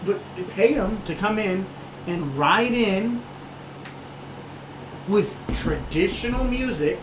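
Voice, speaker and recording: A man co-hosting a casual sports podcast, talking calmly and reasonably. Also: sits high, slow pace, reverberant, background noise. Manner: calm, reasonable, reads news aloud. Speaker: podcast co-host.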